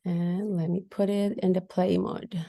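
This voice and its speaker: Indonesian woman giving a lively talk. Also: lowish voice, measured pace, creaky. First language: a regional Indonesian language. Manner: lively, frequent fillers and restarts, addresses audience with tag questions. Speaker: guest presenter, lecturer. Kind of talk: webinar presentation.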